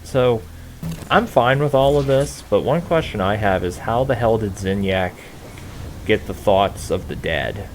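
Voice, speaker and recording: A man's voice: lowish voice, animated, unhurried. A man giving casual, rambling let's play commentary, hesitant and casually profane. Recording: noisy home gameplay recording.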